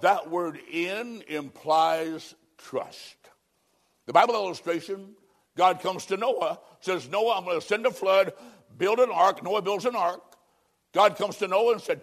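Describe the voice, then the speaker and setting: A man preaching a revival sermon, emphatic, moving from shouts down to hushed low tones.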